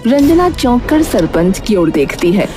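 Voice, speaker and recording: A woman's voice: medium pitch, sing-song, a little slow. A woman narrating a scripted cartoon story in a dramatic storytelling style. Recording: music clearly under the voice.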